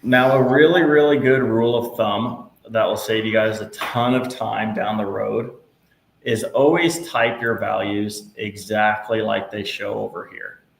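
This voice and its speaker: A man instructor walking a live class through software steps, patient and reassuring. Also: on the low side, fairly even intonation, unhurried, vocal fry. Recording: echoey room, faint noise.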